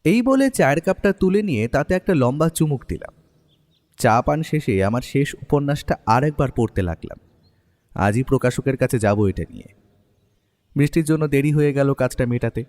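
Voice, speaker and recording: Man playing an animated, mellow writer-narrator. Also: medium pitch, in a large hall, close to an everyday voice. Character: expressive male writer, the detective's companion and narrator. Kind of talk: scripted first-person story narration and drama acting.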